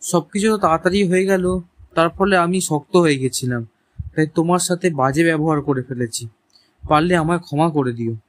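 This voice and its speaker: A man's voice: on the high side, normal speed, close to an everyday voice. A man playing a hesitant, remorseful young husband in a cartoon story, speaking earnestly. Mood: apologetic. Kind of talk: animated story dialogue.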